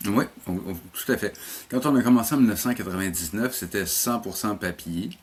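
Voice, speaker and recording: A middle-aged man with a Quebec French accent, an interview guest explaining things in a measured way and rephrasing as he goes. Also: on the low side, husky. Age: middle-aged or older. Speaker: interview guest, animation teacher.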